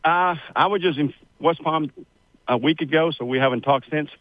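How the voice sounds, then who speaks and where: A man's voice: on the high side, moderate pace, no echo, phone-quality sound. A man in his sixties, born in Cuba, telling his family story as a radio guest in a rambling, chatty way, with many fillers. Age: 60s. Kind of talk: casual interview storytelling.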